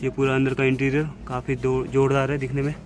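A man's voice: medium pitch, fast talker. Man vlogging at a noisy outdoor expo. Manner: relaxed, plain commentary while walking. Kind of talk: casual walkaround narration. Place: outdoor car expo on a lawn. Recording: noisy outdoor phone recording.

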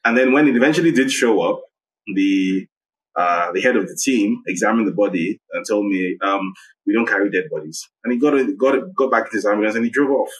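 A man in his thirties from Nigeria, speaking with a fairly low voice. He tells his story slowly and reflectively, circling back and restarting phrases as he works through it. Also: poor audio. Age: 30s. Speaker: guest, investigative journalist. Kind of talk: personal account in interview.

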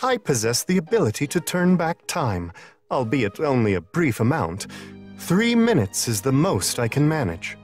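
A man's voice: mid-range voice, sing-song, moderate pace, faint music behind, close to an everyday voice. A man voicing a refined, serene otherworldly attendant in a video game, polite and precise. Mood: composed.

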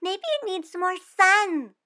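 A woman reading aloud voices a hopeful young schoolgirl who talks in an excited, slow and emphatic way. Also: extremely high pitch, pitched above the performer's own voice, heavily acted. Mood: eager.

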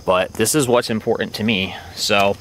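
Young man, low-ish voice, relaxed rambling vlog delivery, faint outdoor hiss. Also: moderate pace, low-quality recording. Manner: relaxed and rambling, with many fillers. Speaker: motorcycle vlogger. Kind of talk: casual show-and-tell narration. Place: outdoors at a campsite.

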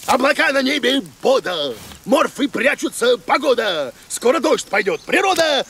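A man voicing a blustering, vain, grumpy monster teacher in a children's cartoon, exclamatory and pompous with a gravelly edge. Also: very high-pitched, sing-song, normal speed, a bit bright, heavily acted. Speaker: comic teacher.

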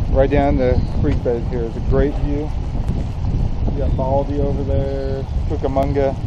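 A relaxed, chatty man in his thirties walks a mountain creek bed and talks straight to his viewers, filming himself on a handheld action camera. His voice sits at an ordinary middle pitch. Rushing spring water and gusts of wind come through clearly behind him. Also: lo-fi sound. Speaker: outdoor vlogger. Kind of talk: casual walking commentary to camera. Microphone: action camera held at arm's length. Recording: outdoor camera audio with clear stream and wind noise. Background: running water and wind.

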